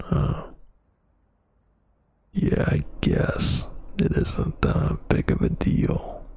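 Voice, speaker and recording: A man performing intimate boyfriend ASMR as a huge, dominant, teasing roommate, in a breathy, gravelly half-whisper close to the mic. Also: on the high side, darkish tone, no room sound. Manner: slow, teasing, dominant murmur. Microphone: close microphone.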